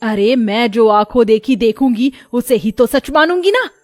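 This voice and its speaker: A woman's voice: a little high, lively intonation, normal speed, darkish tone, no room sound, clean studio sound, lightly acted. An authoritative older mother-in-law from a cartoon village household, acted by a woman. She speaks in a firm, matronly way over background music. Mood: stern.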